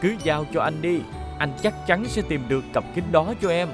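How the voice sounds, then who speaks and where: A confident young male police car from a kids' cartoon , voiced by a man. The voice is kind, reassuring and expressive, always ready to help. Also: a little high, moderate pace, music in the background, lightly acted. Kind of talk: animated children's cartoon dub.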